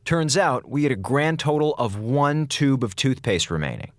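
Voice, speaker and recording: A man's voice: medium pitch, expressive, moderate pace, no room sound, clean studio sound, lightly acted. An actor playing a wisecracking, sarcastic male communications officer who rambles and jokes through his log. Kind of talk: audio drama log narration.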